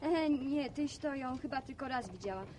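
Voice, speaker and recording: A young village woman with a high voice speaks restlessly, yearning for a life away from home. It is a rural radio play. Faint noise and farmyard ambience sit behind her. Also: expressive, moderate pace, dark tone, poor audio. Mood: wistful, eager. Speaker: radio drama actress. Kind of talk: Polish radio serial acting, rural dialogue.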